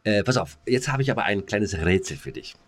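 A man's voice: fairly low pitch, very animated, brisk. A man chatting casually as a podcast co-host in a laid-back, slangy manner. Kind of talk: casual chat.

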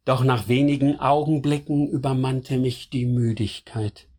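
A man voicing a devoted young man in a radio drama, narrating in a tender, confessional way over soft music. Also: medium pitch, fairly even intonation, unhurried, husky. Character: young man secretly in love, anxious, devoted. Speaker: first-person narrator.

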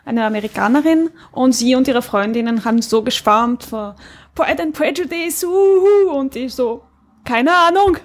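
A woman's voice: high-pitched, moderate pace. A woman talking casually as a podcast co-host. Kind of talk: casual chat.

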